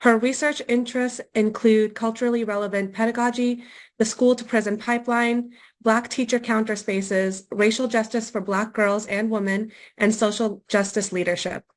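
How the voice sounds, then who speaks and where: A woman's voice: on the high side, steady tone, moderate pace. A woman hosting a program, reading a prepared, formal introduction of a guest speaker over a video call. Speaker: program host.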